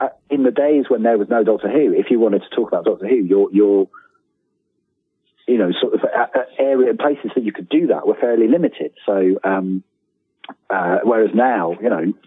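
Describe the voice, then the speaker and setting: A man's voice: on the low side, normal speed, slightly rough. A middle-aged British man chatting casually as an interview guest, self-deprecating, often repeating words as he tells anecdotes. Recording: thin phone-like line, roomy echo.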